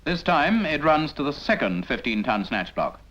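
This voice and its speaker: A man's voice: fairly high pitch, sing-song, normal speed, fairly bright tone. A British man narrating a scripted 1950s military training film in an instructional manner, speaking directly to the trainee. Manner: didactic, addresses the trainee with rhetorical questions. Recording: dull 1950s film soundtrack.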